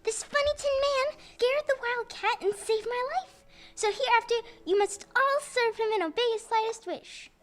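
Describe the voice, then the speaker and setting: A woman's voice: very high-pitched, normal speed. A woman playing a tiny, proud and grateful queen of field mice in a stage audio play, speaking formally and effusively. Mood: regal. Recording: live stage recording with music.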